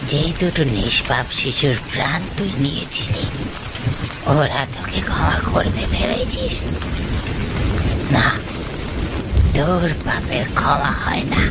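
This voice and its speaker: Woman voicing a creaky, slow, vengeful village ghost. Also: full character voice. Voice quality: creaky, gravelly. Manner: slow, accusing, dramatic swoops in pitch. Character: vengeful ghost of a wronged male village curd seller. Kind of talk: Bengali horror cartoon acting. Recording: rain noise over the voice.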